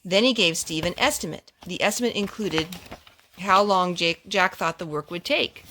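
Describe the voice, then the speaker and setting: Woman reading storytime over clear background noise. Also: lowish voice, moderate pace, pushed and bright. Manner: simple, child-directed talk. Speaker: parent reading a storybook to young children. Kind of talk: read-aloud children's storytime, with asides to the children.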